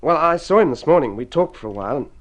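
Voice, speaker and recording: Earnest young husband played by an actor, lively intonation. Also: male voice, a little high, quick pace, lightly acted. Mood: uneasy, downcast. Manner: earnest, hesitant, expressive. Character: struggling young painter husband, gentle, proud, evasive. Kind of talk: mid-century radio drama acting.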